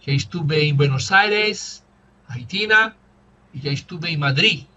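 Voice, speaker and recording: Slow, halting Portuguese-speaking man. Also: fairly high pitch, expressive, bright, forceful tone, muffled recording, slight background noise. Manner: halting, searching for words, many fillers. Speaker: language student. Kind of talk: casual conversation practice.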